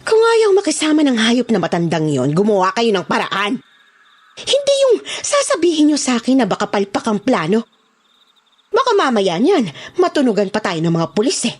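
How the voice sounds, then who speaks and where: A woman's voice: fairly high pitch, wildly swooping pitch, normal speed, slightly rough, exaggerated voice. An actress playing a domineering, hot-tempered woman crime boss in a horror audio drama, scornful and threatening. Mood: irritated, demanding. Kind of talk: dramatised horror story acting.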